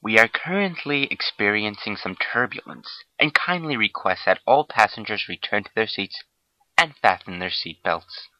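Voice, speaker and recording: A man's voice: lowish voice, expressive, normal speed, no echo, natural voice. Muffled lo-fi guy doing an airline captain, polite and reassuring, voice moves up and down a lot. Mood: calm, reassuring. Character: calm, courteous male airline captain. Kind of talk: formal cabin announcement. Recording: dull, muffled lo-fi sound.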